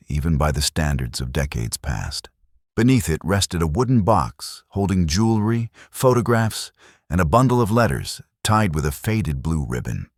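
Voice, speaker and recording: A man narrating a romantic western story in a measured, earnest way. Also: a bass voice, no room sound, studio quality. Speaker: audiobook narrator.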